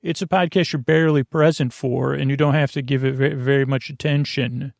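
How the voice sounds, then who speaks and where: Man, gravelly creaky voice, dry close recording, lulling bedtime monologue, meandering, self-interrupting podcast host. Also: medium pitch, steady tone, brisk, dry recording. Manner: lulling, soothing.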